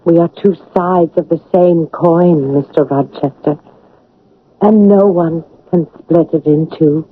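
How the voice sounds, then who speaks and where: A woman's voice: on the low side, fairly even intonation, takes it slowly. A woman playing a spirited, devoted young English governess in an old radio drama, earnest and composed. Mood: tender, steady. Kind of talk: 1970s-80s radio drama acting. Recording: narrow, tinny old radio broadcast.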